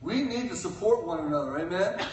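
An older man preaching a sermon from a church pulpit, swinging between loud cries and quiet asides. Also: sits high, expressive, brisk, a little hiss or hum. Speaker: guest preacher. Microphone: pulpit mic, filmed from the pews. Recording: echoey church from far off.

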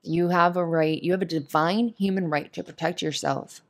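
A woman's voice: fairly low pitch, fairly even intonation, moderate pace. A woman giving casual, comforting advice in a monologue, speaking in an earnest, opinionated and emphatic way. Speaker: voice actor giving advice to a listener.

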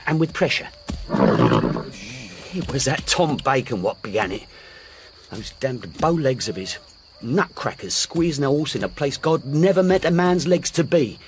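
An actor playing a humble, earnest racehorse jockey in a radio drama, deferential, with rustic, ungrammatical speech. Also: male voice, mid-range voice, lively intonation, measured pace, slight background noise, natural voice. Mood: earnest, indignant. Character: humble, earnest working-class racehorse jockey devoted to his horse.

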